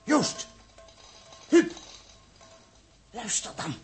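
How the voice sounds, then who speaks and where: A man's voice: very high-pitched, very animated, drawn-out words, full character voice. A man acting an uneasy, watchful spaceship crewman in a 1960s radio drama. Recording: noisy old 1960s radio recording.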